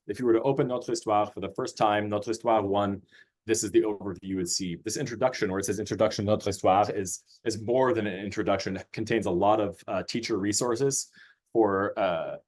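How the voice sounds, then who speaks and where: A man's voice: on the low side, a little mellow. Man presenting a curriculum over a video call. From Austria. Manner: hesitant, many fillers and restarts, friendly. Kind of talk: webinar presentation.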